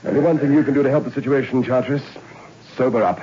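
A man's voice: mid-range voice, fast, close to an everyday voice. A man playing a stern prison governor. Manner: clipped, commanding and authoritative. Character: stern male prison governor, an army major. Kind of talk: 1960s radio drama acting. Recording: dull lo-fi old radio recording with faint hiss.

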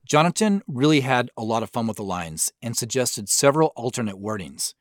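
A man's voice: mid-range voice, lively intonation, a little fast, no room sound, clean studio sound. An American man giving conversational, explanatory commentary as a show creator and sound designer. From Spokane Valley, Washington, United States. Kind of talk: director's commentary narration.

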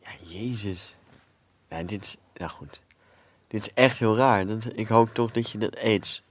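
An actor playing a bemused, reluctant comedian guest in a radio comedy, hesitant and objecting in a natural way. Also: male voice, fairly low pitch, a little slow, lightly acted. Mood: bewildered.

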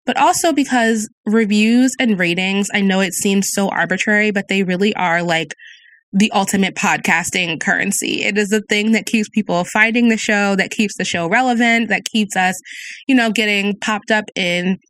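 A woman hosts her podcast solo in a warm, chatty way, like catching up with a friend. Her voice is soothing, with a slight speech impediment, and sits in the middle of a woman's range. The recording is dry and close, with no room sound. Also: mid-range voice, normal speed, no echo. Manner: friendly, conversational, reads listener reviews aloud. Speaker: podcast host.

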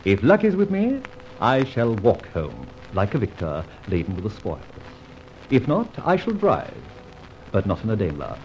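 A cocky gentleman thief. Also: fairly low pitch, huge pitch swings. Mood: scheming, playful. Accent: Australian. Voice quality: brisk, theatrical, witty. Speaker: lead character. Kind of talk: 1950s radio drama acting. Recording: dull old radio recording, faint hiss.

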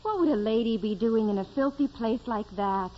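Woman as very high, bossy young girl. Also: close to an everyday voice. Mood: scornful. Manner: brisk, bossy. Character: bossy, impatient young peasant girl, a sister. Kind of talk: 1940s-1950s radio acting. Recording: dull, slightly noisy old radio broadcast.

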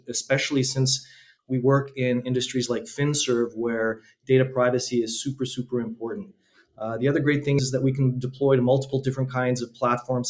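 A man with a Canadian accent, speaking as a guest in an interview. His delivery stays flat and even, with little rise and fall. He talks steadily and promotionally about his work. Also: mid-range voice. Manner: steady, businesslike and promotional, with some restarts. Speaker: startup co-founder, guest. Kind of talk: conversational interview.

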